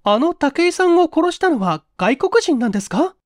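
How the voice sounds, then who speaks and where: A man voicing a polite, modest young woman with soft feminine speech in a dramatic reading. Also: very high-pitched, sing-song, normal speed, dry recording, clean studio sound, pitched above the performer's own voice. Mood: curious.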